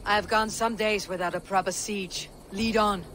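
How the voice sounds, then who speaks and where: Blunt Viking chieftain, higher voice. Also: male voice, normal speed, a little hiss or hum, low-quality recording. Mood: wry, resolute. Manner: steady, declarative, dryly wry. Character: blunt, wry Viking warrior chieftain, confident and commanding. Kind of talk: video game cutscene acting.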